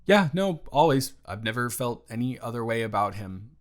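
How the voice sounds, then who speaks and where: A man acting out a sincere young guy in an intimate audio roleplay, speaking straight to the listener at a middling pitch. He is protective and smitten, and he stammers through halting, flustered phrases as he tries to be earnest. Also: very animated, natural voice. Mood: reluctant, disapproving.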